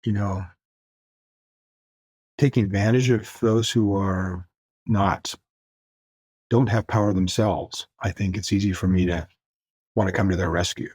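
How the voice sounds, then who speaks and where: A man's voice: sits low, fairly even intonation, a little slow, long pauses, a little mellow, husky, no room sound. An older man from the Far East, first language Mandarin, talking reflectively as a guest author in a podcast interview, searching for words with light laughs.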